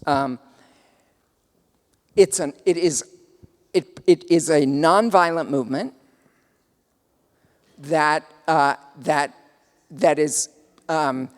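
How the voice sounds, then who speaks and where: A South African man gives a lecture and answers questions, fairly high-voiced. He pauses often, restarts his sentences and fills gaps with "um". His voice carries a long reverb, as if recorded in a large hall. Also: steady tone. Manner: thoughtful, hesitant, many fillers and restarts. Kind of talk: lecture with audience Q&A.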